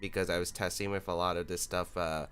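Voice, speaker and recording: A man hosting his own gaming channel who talks through his choices out loud in a relaxed, analytical way. He is a little sick, so his voice sounds a bit off. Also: deep voice, fairly quick, smooth. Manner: thinks aloud, analytical, relaxed with fillers. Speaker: YouTube competitive gaming host. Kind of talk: casual gameplay commentary.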